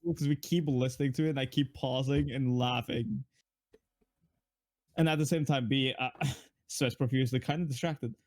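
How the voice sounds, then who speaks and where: A male streamer bantering casually, playful and excitable, with some swearing. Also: mid-range voice, normal speed, no echo.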